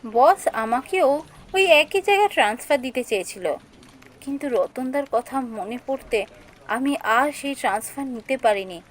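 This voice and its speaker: A woman playing an anxious young female office worker in a cartoon story, speaking in an expressive, emotional way. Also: sits high, normal speed, slight background noise, rough recording. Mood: stunned, sorrowful. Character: anxious young female office worker Soumi, telling her ghost encounter. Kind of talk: dramatic scripted story narration and dialogue.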